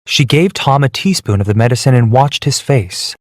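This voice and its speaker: A man narrating a scripted children's story in a clear, measured way. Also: mid-range voice, lively intonation, darkish tone, no room sound. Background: music.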